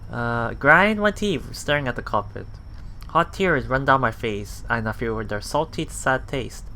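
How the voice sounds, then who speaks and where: Slow-paced man with a bright voice. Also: medium pitch, lively intonation, a little hiss or hum. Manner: halting delivery with self-corrections and muttered asides. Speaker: let's play host. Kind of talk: reading a visual novel aloud with casual commentary.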